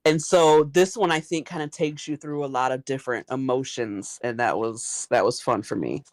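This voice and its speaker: A woman's voice: extremely low pitch, moderate pace, dry recording. Woman chatting casually, close dry sound. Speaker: podcast panel guest. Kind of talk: casual panel chat.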